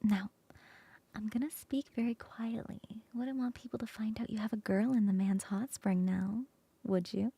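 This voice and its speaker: A woman's voice: mid-range voice, fairly even intonation, normal speed, creaky, breathy edge, dry recording. A woman playing a teasing whispering coworker. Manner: soft whispering, playful teasing. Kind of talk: ASMR roleplay.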